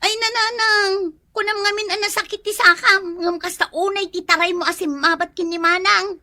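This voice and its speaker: A woman playing an excitable, fussy provincial woman in an Ilocano comedy radio drama, with an Ilocano accent and exclamatory delivery. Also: very high-pitched, normal speed, no room sound, heavily acted. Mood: fussing. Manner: exclamatory, full of sighing "ay".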